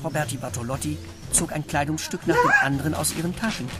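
A man's voice: a little high, quick pace, loud music behind the voice. A man narrating a children's radio play as a scripted storyteller.

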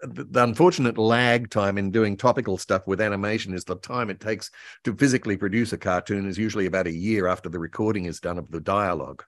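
A man's voice: on the low side, fast, no echo. Older man reminiscing in an interview. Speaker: guest author and voice actor. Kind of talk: enthusiastic anecdotal interview talk about old radio and cartoons. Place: indoor room at home, video call. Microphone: video call.

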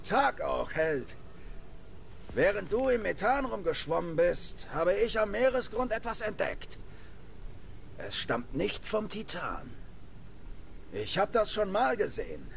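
An actor playing a laid-back, roguish space scavenger in a video game, with a gravelly voice and a drawling manner. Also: male voice, high voice, big pitch swings, slow pace, pauses often, a little hiss or hum, heavily acted. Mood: wry, casual. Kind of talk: video game cutscene acting.

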